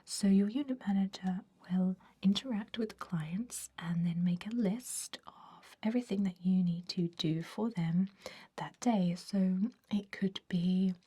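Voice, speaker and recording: Woman acting as a centuries-old vampire recruiter, ASMR roleplay, breathy hush, mellow, fussy, dismissive, hesitant. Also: mid-range voice, measured pace. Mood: discouraging, matter-of-fact. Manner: hesitant, hushed, politely dismissive.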